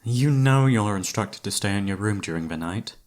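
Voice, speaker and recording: A man's voice: on the low side, lively intonation, normal speed, slight background noise, natural voice. A man playing a devoted young knight in a romantic fantasy ASMR roleplay, speaking in a courtly yet playfully teasing manner. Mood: stern, dutiful. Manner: formal, courtly, playfully teasing, soft-spoken sighs.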